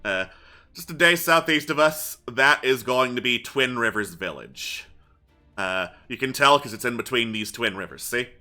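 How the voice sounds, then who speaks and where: Weary, easygoing male guard played by a man, very bright forceful voice, casual grumbling guide for a tabletop game, music underneath. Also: mid-range voice, normal speed. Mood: grumbling, helpful. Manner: casual, grumbling, muddles his directions.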